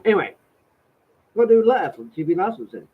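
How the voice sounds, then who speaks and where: A man's voice: high voice, very animated, fast talker, breathy edge. Sarcastic man with a cold. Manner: exasperated, sarcastic, swears. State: has a cold, slightly nasal. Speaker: vlogger reading out a letter. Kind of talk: sarcastic commentary on a letter. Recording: tinny phone-like audio.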